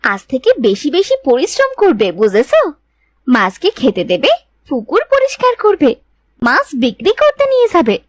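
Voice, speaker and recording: A woman's voice: high-pitched, huge pitch swings, moderate pace, no echo. A woman playing a bossy but good-hearted village wife in a cartoon folk tale, exclaiming, scolding and coaxing.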